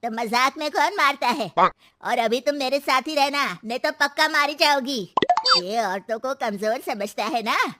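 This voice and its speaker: A woman's voice: high-pitched, expressive, measured pace, bright, forceful tone, no room sound, clean studio sound, exaggerated voice. A woman playing a bold, teasing elderly mother-in-law in a children's story cartoon, brash and sarcastic as she scolds. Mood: defiant, commanding.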